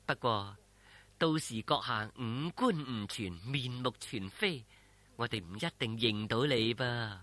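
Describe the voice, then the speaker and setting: A man voicing a defiant, mocking young swordswoman in a story, with a slow, deliberate Cantonese-accented delivery. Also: medium pitch, bright, forceful tone, voice pushed down. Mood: cool mockery.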